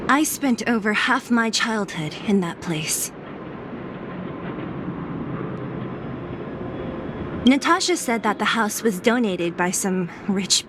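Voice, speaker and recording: A woman's voice: medium pitch, background noise, lightly acted. An actress playing a brash, streetwise young woman fighter in a video game, teasing and blunt. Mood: reflective, brisk. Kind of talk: video game cutscene voice acting.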